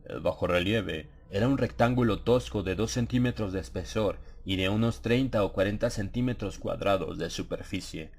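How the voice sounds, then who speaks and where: A man reading a horror story as an audiobook narrator, in a measured, solemn, dramatized literary delivery. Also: lowish voice, a little mellow.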